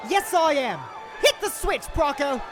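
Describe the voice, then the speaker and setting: A woman's voice: high-pitched, animated, measured pace, shouting, loud music behind the voice, a loud crowd. A woman playing a weary but fierce, obsessed adventurer in a comedic audio drama, speaking with dramatic passion. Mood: urgent. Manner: dramatic, impassioned.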